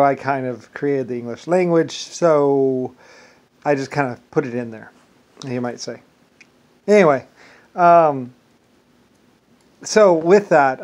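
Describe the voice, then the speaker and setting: A man in his forties talking casually to camera in a rambling, halting way, often repeating words for emphasis. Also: medium pitch, a little mellow. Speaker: vlogger. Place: small wood-furnished room at home. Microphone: phone or webcam at arm's length.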